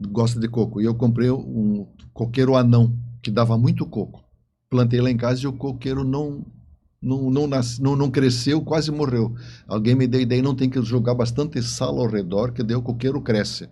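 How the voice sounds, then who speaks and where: Southern Brazilian man, mellow, halting storyteller. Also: mid-range voice. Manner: halting, repeats words as he tells stories. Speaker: interview guest, writer. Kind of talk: conversational storytelling.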